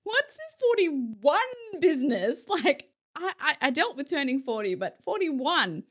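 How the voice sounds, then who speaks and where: A woman's voice: sits high, sing-song, measured pace, fairly bright tone. A woman in her forties hosting a casual solo podcast, chatty and self-deprecating, laughing often between her thoughts. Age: 40s. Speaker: podcast host.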